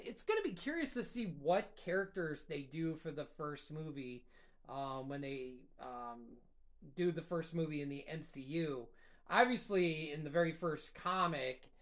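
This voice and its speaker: A man hosting a casual solo chat, talking in a rambling, enthusiastic way with lots of fillers. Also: a little high. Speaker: host. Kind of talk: casual solo chat about a cartoon rewatch.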